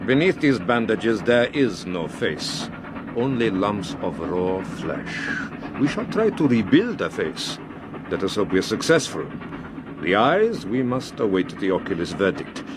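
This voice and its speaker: An actor playing a sinister, smooth doctor in a radio drama, speaking with measured, courteous menace. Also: male voice, fairly low pitch, sing-song. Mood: menacing. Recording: clear background train noise.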